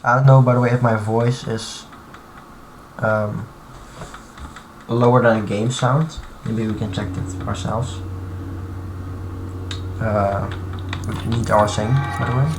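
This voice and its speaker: A man streaming live from his computer, talking through his modding in a halting, thinking-aloud way full of restarts. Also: lowish voice, fairly even intonation. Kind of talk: casual live-stream commentary while modding. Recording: clear background noise and typing.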